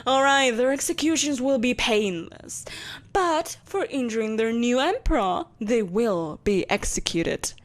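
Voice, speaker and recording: A woman playing a vengeful, possessive empress in an audio roleplay, speaking in a haughty, theatrical and deliberately menacing way. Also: fairly high pitch, animated. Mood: stern, irritated. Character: vengeful, possessive evil empress, soulless, imperious, coaxing a captive. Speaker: voice actor. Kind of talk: scripted audio roleplay acting.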